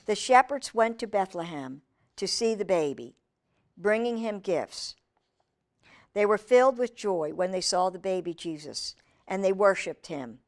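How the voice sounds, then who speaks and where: A woman reading aloud to young children in a deliberate, expressive storytime manner, speaking directly to her listeners. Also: mid-range voice.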